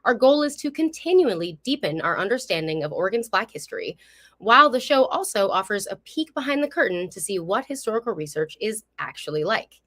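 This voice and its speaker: A woman's voice: mid-range voice, very animated, fairly quick, fairly bright tone. A woman presenting as the host of a history program, reading a scripted introduction in a welcoming, upbeat manner on a live online stream.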